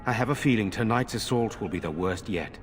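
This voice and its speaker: An actor playing a middle-aged noble lord in a game, speaking in a measured, formal and earnest way. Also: male voice, lowish voice, soft background music, lightly acted. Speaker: village lord. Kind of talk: game voice acting.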